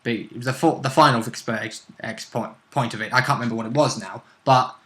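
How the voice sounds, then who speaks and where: A man's voice: medium pitch, brisk, fairly bright tone. A man co-hosting a tabletop role-play show, explaining in casual, rambling chat and stumbling over his words.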